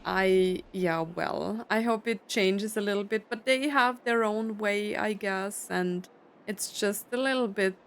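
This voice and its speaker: A woman's voice: mid-range voice, a little slow, clean tone. A woman game streamer reacting casually, with an informal, musing way of talking. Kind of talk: casual let's-play reactions. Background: game music.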